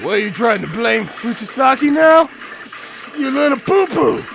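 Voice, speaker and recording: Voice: sits high, expressive, normal speed, gravelly, a bit bright, soft background music, exaggerated voice. A let's player voicing a hot-headed teenage male delinquent from a visual novel, barking confrontational threats and childish swears. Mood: angry, threatening.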